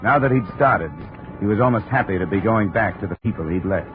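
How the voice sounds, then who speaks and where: A man's voice: lowish voice, brisk, over loud music, natural voice. An actor playing a dry, terse insurance investigator who also narrates his case, in 1950s radio drama style. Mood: narrating, measured. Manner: terse, dry, matter-of-fact. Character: hard-boiled male insurance investigator, dry and unhurried. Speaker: lead detective and narrator. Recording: noisy, muffled old-time radio recording.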